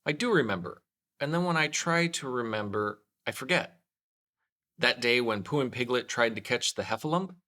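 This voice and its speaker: A man's voice: medium pitch, animated, normal speed, sharp tone. A man voicing a small, trusting, innocent boy in a children's storybook. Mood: innocent, wondering. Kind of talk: children's storybook read-aloud.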